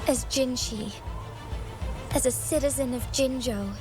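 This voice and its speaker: An actress playing a noble young female magistrate in a video game, earnest, measured and formal. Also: high-pitched. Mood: resolute, earnest. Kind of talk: dramatic video game voice acting. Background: game music.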